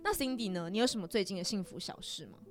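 Fast-talking young Taiwanese Mandarin woman, casual host. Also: mid-range voice, expressive, faint music behind. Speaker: podcast host. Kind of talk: casual podcast chat with friends.

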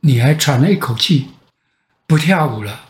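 An older man with a Taiwanese Mandarin accent tells a bedtime fairy tale as a grandfather, with dramatic pauses and repetition. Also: mid-range voice, lively intonation. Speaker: grandfather storyteller. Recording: roomy echo.

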